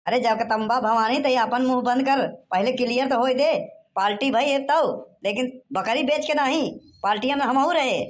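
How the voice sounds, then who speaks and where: A man's voice: very high voice, normal speed. A man voicing a blustering village husband in a cartoon, in a rustic Awadhi accent from eastern Uttar Pradesh. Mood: angry, accusing. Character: blustering village husband searching for his lost goat. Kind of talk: cartoon dialogue in rustic dialect.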